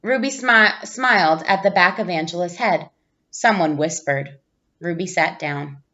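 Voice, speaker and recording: A woman reading a children's picture book aloud like a teacher, with expressive storytelling emphasis. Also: lowish voice, measured pace, muffled recording. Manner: animated character voices, clear storytelling emphasis.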